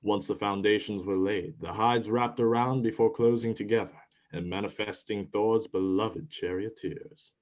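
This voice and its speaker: A man's voice: lowish voice, fairly even intonation, normal speed, few pauses. A man reading scripted narration for a dramatized myth as its storyteller.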